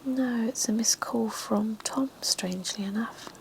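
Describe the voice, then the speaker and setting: A woman voicing a chatty woman on holiday, in a soft unvoiced whisper. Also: fairly high pitch, unhurried, gravelly, slight background noise, poor audio.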